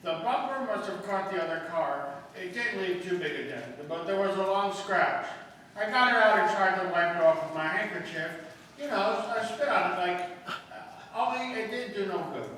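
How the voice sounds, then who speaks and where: Man playing a nervous high-voiced suspect, echoey hall. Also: expressive, pushed and bright. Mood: sheepish confession. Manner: anxious, halting, pleading. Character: nervous, defensive down-on-his-luck male theft suspect. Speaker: amateur radio-play actor. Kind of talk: 1950s police radio drama acting. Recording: echoey hall from far off, faint noise.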